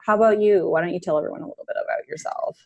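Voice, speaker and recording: A woman's voice: on the low side, steady tone, racing, vocal fry, dull audio. A woman hosting a podcast, chatting casually with her guests on a video call and often filling her talk with "like.". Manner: warm-hearted questions, many "like" fillers. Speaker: podcast host. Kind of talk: casual interview conversation.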